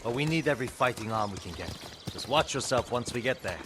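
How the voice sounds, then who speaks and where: A man's voice: medium pitch, lively intonation, fast talker, noisy background, natural voice. A man playing a wry, battle-hardened island raider in a video game, guarded, terse and plain-spoken with a sardonic edge. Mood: wary, gruff. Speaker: video game character actor. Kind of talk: naturalistic game dialogue acting.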